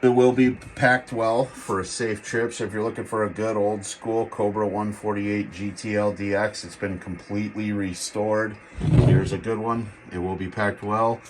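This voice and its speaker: A man's voice: lowish voice, level tone, normal speed, noisy background. A man giving a casual, plain-spoken product demo in the style of a seller's vlog. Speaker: radio seller demonstrating gear. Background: CB radio running in the background.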